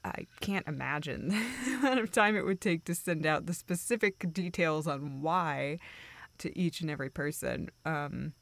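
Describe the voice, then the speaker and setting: Girl acting as sarcastic self-deprecating young woman, kinda low creaky voice, hesitant, audio roleplay confiding in a friend. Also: no room sound. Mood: deflated, awkward. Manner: hesitant, halting, deadpan joking.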